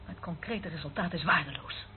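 A woman's voice: low-pitched, fairly quick, lightly acted. A woman acting a stern agent in charge of a secret operation in a Dutch radio play, curt and firm. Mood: stern, scolding. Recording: narrow phone-like old radio recording, faint noise.

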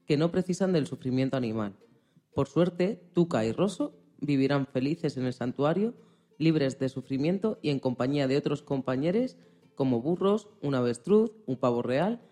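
A man's voice: on the high side, dark tone. A man presenting on a radio program, reading scripted narration.

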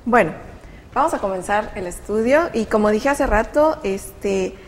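A woman's voice: medium pitch, lively intonation, a little slow, long reverb tail, low-quality recording. A woman hosting a Bible study talk show, leading the discussion in a friendly way with frequent fillers. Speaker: host of a Bible study program. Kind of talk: casual religious study chat.

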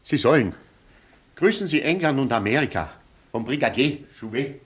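A pedantic male police sergeant from a radio play. His medium-pitched voice rises and falls widely as he recites stiff legal phrases with officious precision. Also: animated, moderate pace, slightly rough. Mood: brisk.

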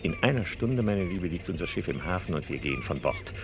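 Suave fugitive doctor, deep, wildly swooping. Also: male voice, huge pitch swings, a little fast, heavily acted. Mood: smug, confident. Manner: suave, condescending, theatrical. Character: confident fugitive doctor, condescendingly suave to his lover. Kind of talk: 1960s German radio crime drama acting. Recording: faintly noisy 1960s radio recording.

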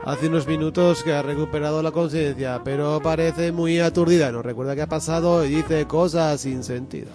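A man's voice: a little high, steady tone, brisk, few pauses, over music, natural voice. A man playing a blunt, evasive hospital doctor in a comic radio soap, hesitant and then crudely offhand. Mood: matter-of-fact.

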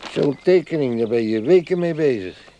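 A man's voice: on the high side, animated, moderate pace, mellow, muffled recording, slight background noise. An actor in a radio play as a middle-aged man, grumbling and resigned, wistful about thwarted ambitions. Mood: wistful, bitter.

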